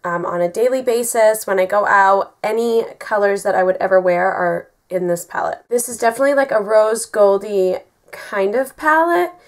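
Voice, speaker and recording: A young woman in her twenties, raspy from a cold, chatting through a makeup tutorial in a bedroom, full of ums and likes. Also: mid-range voice, normal speed. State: getting over a cold. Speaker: beauty vlogger. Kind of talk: casual makeup tutorial talk. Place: bedroom, indoors.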